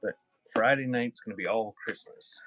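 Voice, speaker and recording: A man's voice: lowish voice, normal speed, fairly bright tone, no room sound, rough recording. A man hosting a casual live sale, chatting off the cuff with viewers and reading out items. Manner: off-the-cuff, addresses chat viewers by name. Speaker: live-stream auction seller of vintage music.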